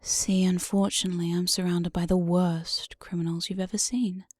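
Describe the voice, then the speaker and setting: A woman playing an evil, playful demon jailer in an ASMR roleplay, breathy and often whispering, with a sly, mock-sweet menace, close on the microphone. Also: lowish voice, flat delivery, normal speed, darkish tone, dry recording. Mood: gloating, scheming. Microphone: close binaural studio microphone, dry.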